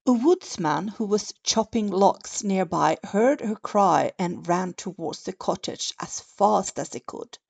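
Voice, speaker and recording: A woman narrating a bedtime story in a gentle, deliberate, expressive manner, like a mother reading to a child. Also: mid-range voice, vocal fry.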